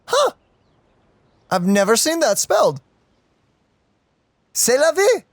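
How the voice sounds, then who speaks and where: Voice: high voice, wildly swooping pitch, takes it slowly, no room sound, studio quality. A let's play host giving casual, playful gaming commentary. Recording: clear game music behind. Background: video game music.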